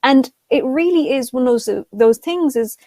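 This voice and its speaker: A woman's voice: high-pitched, moderate pace, mellow, no echo, studio quality. A young woman speaking enthusiastically as a panelist on an online call, with many fillers and restarts. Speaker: panelist, content creator. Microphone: video call.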